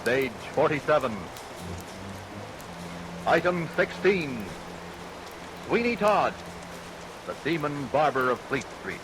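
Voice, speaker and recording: A man's voice: on the high side, sing-song, slow, long pauses, poor audio. A man giving a formal, dramatic scripted announcement as a vintage radio drama announcer. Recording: old radio broadcast over music and rain.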